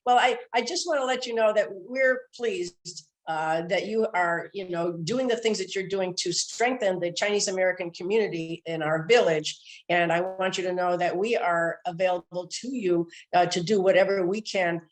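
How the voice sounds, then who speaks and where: A woman interviewing a guest for community television, friendly and quick to laugh. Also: fairly low pitch, poor audio.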